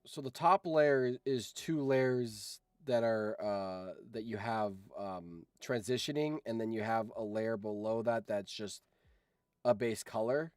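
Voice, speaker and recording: A man with a fairly low voice and a dry, close sound. He chats casually as a co-host on technical editing matters, feeling his way through each thought. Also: slow, a little mellow, no echo. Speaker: stream co-host commenting on editing. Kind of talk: casual tech chat.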